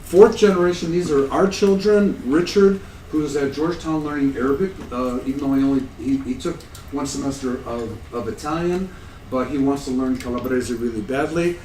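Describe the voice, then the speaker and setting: A man's voice: mid-range voice, moderate pace, mellow, slight background noise. A man in his sixties from the United States giving a conference talk, conversational and self-deprecating with an amused tone. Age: 60s. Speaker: conference presenter. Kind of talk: academic conference talk, anecdotal. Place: lecture room at a conference. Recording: echoey room, distant mic.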